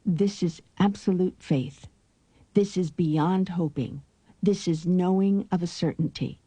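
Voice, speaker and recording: A woman reading an audiobook in a measured, deliberate way, solemn and authoritative. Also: fairly low pitch, dark tone, slightly rough, no echo. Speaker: audiobook narrator. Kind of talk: measured scripted audiobook narration.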